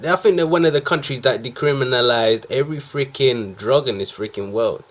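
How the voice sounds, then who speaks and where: A man's voice: mid-range voice, a little fast. A man hosting a reaction video, chatting casually in laid-back slang and calling people bro.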